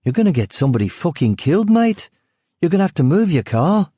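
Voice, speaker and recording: A man voicing a young, overweight male security guard from Birmingham, high and agitated, with a Birmingham accent. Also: very animated, a little fast, dark tone, slightly rough, no echo, studio quality. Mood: angry.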